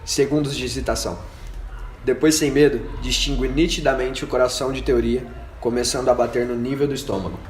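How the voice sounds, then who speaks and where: A man's voice: medium pitch, steady tone, brisk. A man reading scripted narration for an amateur radio drama. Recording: echoey room with faint noise.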